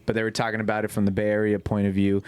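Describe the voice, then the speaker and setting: Smooth, low-voiced man explaining casually. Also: monotone, racing, darkish tone, no room sound. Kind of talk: casual podcast chat. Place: small furnished lounge studio indoors. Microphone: close podcast microphone.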